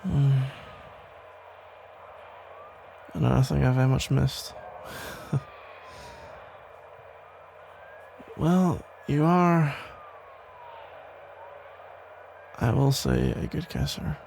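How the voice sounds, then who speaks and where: Male actor for protective police officer ex, ASMR roleplay: very creaky, gravelly voice, tender, halting, soothing delivery over faint background noise. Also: mid-range voice, big pitch swings, a little mellow. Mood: teasing, affectionate. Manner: hesitant, reassuring, halting phrases with pauses. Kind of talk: intimate ASMR roleplay, soft conversational acting.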